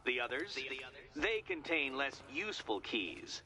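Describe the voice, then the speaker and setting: Pompous, swooping game villain. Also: male voice, medium pitch, very animated, measured pace, bright, forceful tone, full character voice. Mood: smug, menacing. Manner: pompous, mocking, florid wordy taunting.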